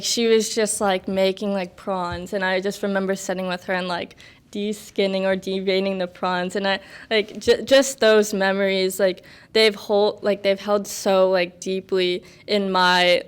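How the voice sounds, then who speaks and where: Chatty young woman, smooth voice. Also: mid-range voice. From United States. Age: 20s. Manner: chatty, rambling, many fillers and laughs. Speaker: podcast guest. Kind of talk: casual interview chat.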